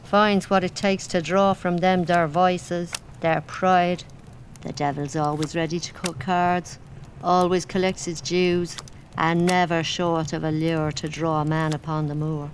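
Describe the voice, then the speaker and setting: A woman acting an old servant in an audio drama, telling grim folklore in an ominous, measured way. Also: on the low side, little pitch movement, slight background noise. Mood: dark warning.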